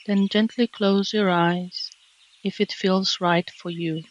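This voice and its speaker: A woman meditation teacher narrating a guided relaxation in a gentle, calming, measured way with long pauses. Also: mid-range voice, dull audio. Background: birdsong and nature sounds.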